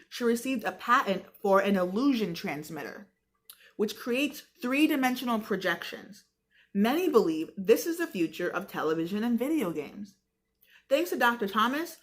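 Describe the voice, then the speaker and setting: An American woman reading a book aloud like a teacher, with casual explanatory asides spoken directly to her listeners in a conversational, chatty way. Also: medium pitch. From New York state, United States.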